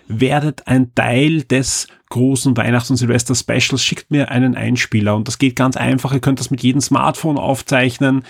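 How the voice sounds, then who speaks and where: A man hosting a podcast on his own, slightly hoarse and talking in a chatty, informal, upbeat way with the odd filler sound. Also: mid-range voice, no echo, studio quality. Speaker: podcast host. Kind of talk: solo podcast announcements.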